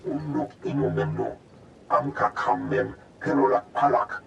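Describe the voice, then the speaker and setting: An actor playing a male alien in a video game, speaking an invented tongue with a guttural, gravelly voice. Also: medium pitch, steady tone, moderate pace, reverberant, dull audio, background noise. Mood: informative.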